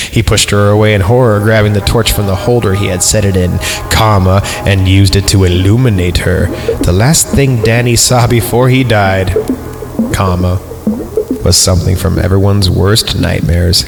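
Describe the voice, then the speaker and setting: A low-voiced man with a gravelly edge reads a creepy story aloud, constantly stopping to mock it with sarcastic asides. Clear music plays behind him throughout. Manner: sarcastic, interrupts the reading with jokes. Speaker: podcast host. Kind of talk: mocking reading of a horror story.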